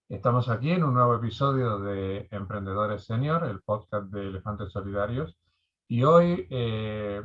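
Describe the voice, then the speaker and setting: A man hosting a conversational interview podcast over an online call, speaking courteously with deliberate pauses. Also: mid-range voice, highs cut off. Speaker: podcast host. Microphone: remote online call.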